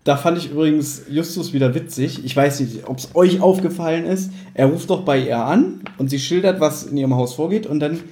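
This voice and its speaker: A man co-hosts a podcast in casual conversation. His voice sits a little high, with a dark, mellow tone that keeps it relaxed as he discusses an audio drama. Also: a little fast, hardly any pauses, slight background noise. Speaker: podcast co-host.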